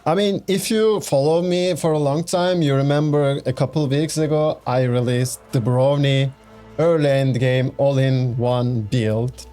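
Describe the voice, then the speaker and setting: A man's voice: mid-range voice, mellow. A young man with a Turkish accent, a gaming YouTuber, chattily walking through a game build with fillers and restarts. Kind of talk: casual game build walkthrough. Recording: faint background music.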